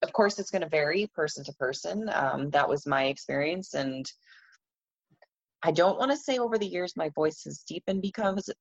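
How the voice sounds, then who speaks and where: A woman with a raspy voice interviewing a guest in a relaxed, questioning way. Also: low-pitched, moderate pace, dull audio. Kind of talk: casual interview.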